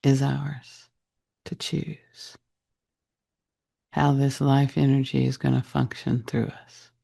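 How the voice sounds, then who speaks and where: A man leading a guided meditation in a soft, breathy, husky voice, speaking deliberately in short phrases with long pauses. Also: medium pitch, little pitch movement. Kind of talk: guided meditation, slow soothing narration.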